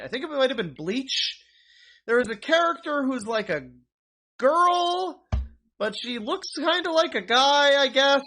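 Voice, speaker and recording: A man's voice: high-pitched, pushed and bright. A man streaming, rambling and mocking a video in casual commentary.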